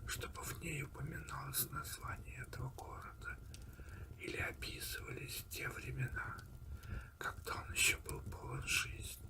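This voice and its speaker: A man narrating a story in a breathy, hushed ASMR whisper, deliberate and unhurried, with pauses between phrases. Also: mid-range voice, fairly even intonation, rough recording. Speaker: audiobook reader and host of an ASMR channel.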